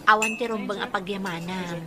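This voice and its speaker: A woman's voice: mid-range voice, steady tone, brisk, crowd noise, close to an everyday voice. A woman with a Filipino accent playing a bashful, protesting young daughter who is being courted in a radio drama. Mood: teasing. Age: young adult. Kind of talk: Philippine radio drama acting.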